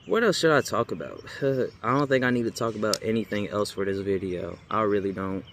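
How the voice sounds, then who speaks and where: A man's voice: on the low side, a little fast, a bit bright. A young American man talking casually and off the cuff to viewers, in a slangy, rambling way with emphatic repetition. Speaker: spiritual video creator. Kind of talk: casual unscripted monologue to viewers. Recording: clearly noisy background.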